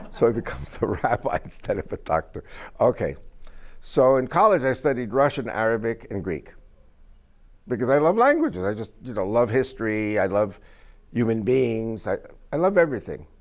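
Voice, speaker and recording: A man's voice: medium pitch, huge pitch swings, moderate pace. An older man with Spanish as his first language, giving an informal, rambling talk to a small group as guest speaker and joking as he goes. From United States.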